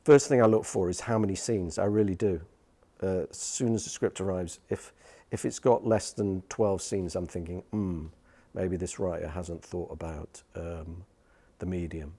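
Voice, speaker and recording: Guy with a lowish dark voice, thinking as he talks, casual interview advice. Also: steady tone, normal speed. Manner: hesitant, thoughtful. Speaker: radio drama producer giving writing advice.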